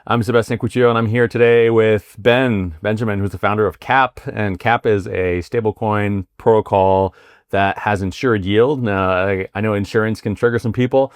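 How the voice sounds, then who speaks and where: A man hosting a podcast interview, asking questions in a halting way with many fillers and restarts. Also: lowish voice, steady tone, clean tone, dry recording. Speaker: podcast host. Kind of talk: casual interview with read ad intro.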